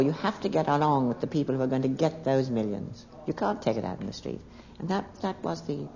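An older woman reminiscing in an interview, telling her story in an emphatic, deliberate and articulate way. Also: rumbling low, dark tone. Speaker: interviewee recalling a theater colleague. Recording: dull archival interview with background noise.

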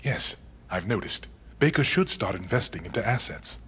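An actor playing a composed heist planner in a video game, speaking in formal, clipped, measured phrases. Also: male voice, on the low side, animated, a bit bright, slightly rough, exaggerated voice. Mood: disapproving. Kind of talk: video game cutscene acting.

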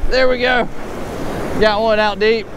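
A man giving excited, casual commentary while surf fishing on an ocean beach, breaking into whoops and exclamations. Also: sits high, unhurried. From Alabama. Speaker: fishing vlogger. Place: open ocean beach outdoors. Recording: outdoor beach recording with clear surf noise. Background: surf and waves.

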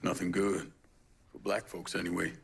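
A man's voice: sits low, little pitch movement, moderate pace, vocal fry, a little hiss or hum. A man playing a stoic, tough young American avenger in a video game, terse and understated. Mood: stoic, measured. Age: young adult. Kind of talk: video game cutscene acting.

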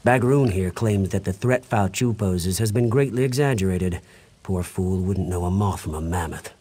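A man playing an eccentric, excitable, cheerfully careless mage scholar in a fantasy video game, theatrical and given to muttering. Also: low-pitched, normal speed, husky. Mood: theatrical, scornful.

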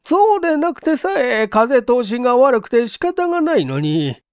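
Fussy middle-aged landlady, played by a man, very high and animated, nagging, for story drama. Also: normal speed, smooth, no room sound, heavily acted. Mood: fussy, puzzled. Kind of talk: dramatized audiobook reading.

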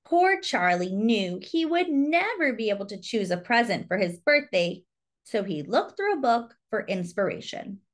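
A woman reading a children's story aloud expressively, with deliberate phrasing and simple explanatory asides to young listeners. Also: medium pitch, sing-song. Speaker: storybook reader and host.

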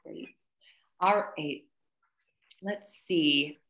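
A woman's voice: sits low, extremely slow, a bit bright, reverberant. A woman in her thirties hosting a student poetry reading over a video call, with an encouraging manner. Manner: encouraging, praising. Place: plain indoor room, on a video call.

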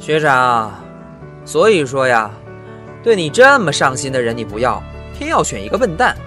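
Cheeky, animated young student, played by a man. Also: medium pitch, normal speed. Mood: teasing. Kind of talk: audio drama acting. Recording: music behind the voice. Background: music.

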